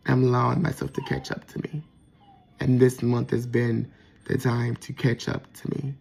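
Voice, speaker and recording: Soft-voiced man with a gravelly edge. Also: medium pitch, flat delivery, normal speed. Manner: reflective, with frequent fillers and restarts. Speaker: vlogger. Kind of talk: casual personal monologue.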